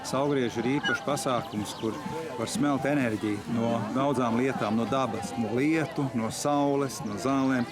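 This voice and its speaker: A man slowly explaining a festival custom in an interview. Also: medium pitch. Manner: slow, explanatory. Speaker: festival participant. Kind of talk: interview answer. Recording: outdoor festival, music and crowd.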